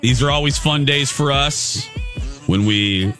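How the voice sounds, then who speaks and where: A man co-hosting a morning radio show, telling a story in a lively, chatty way with laughs, close on a studio microphone. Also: medium pitch, a little slow, soft background music.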